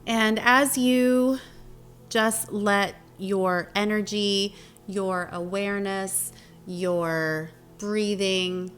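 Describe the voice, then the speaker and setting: Soft music plays behind a woman guiding a meditation. She speaks very slowly and gently at a medium pitch, leaving long pauses between short phrases as she instructs the listener. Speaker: meditation teacher and guide. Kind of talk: guided meditation.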